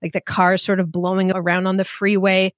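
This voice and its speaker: A middle-aged woman telling a personal story in a frank, animated and self-deprecating way. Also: medium pitch, brisk, no room sound, clean studio sound. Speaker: storyteller guest.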